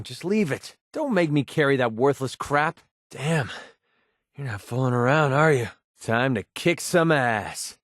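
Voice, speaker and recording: An actor playing a cynical, wisecracking young mercenary in a video game, with a sardonic, casual, streetwise delivery. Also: male voice, on the high side, dry recording, studio quality. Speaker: video game companion character. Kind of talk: video game voice acting, short scripted barks.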